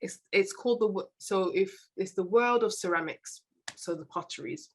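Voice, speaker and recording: A woman's voice: medium pitch, normal speed, slight background noise. A woman with a British English accent hosting a podcast interview, talking in a chatty, rambling way and often restarting her words. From England. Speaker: podcast host. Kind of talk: casual interview chat.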